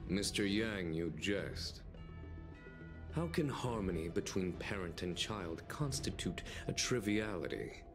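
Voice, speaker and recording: An actor playing a calm, dignified army general in a video game, speaking in measured, formal, eloquent phrases with gentle wryness. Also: male voice, sits low. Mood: gently wry. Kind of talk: dramatic video game dialogue. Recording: game music behind.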